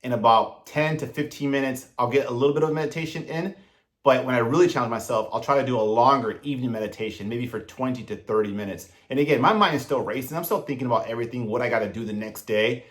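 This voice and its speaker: A man's voice: mid-range voice, fast. A man in his thirties giving motivational self-improvement advice straight to his viewers, earnest and direct in a coaching tone. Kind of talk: motivational advice monologue to camera.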